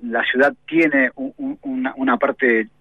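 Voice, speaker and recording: A man's voice: mid-range voice, fairly even intonation, measured pace, husky, dry recording. A man in his forties with an Argentine accent, a novelist being interviewed on the radio, who talks thoughtfully with lots of hesitations and tag questions. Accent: Argentine Spanish. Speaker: novelist guest. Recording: tinny, phone-like, dry radio sound.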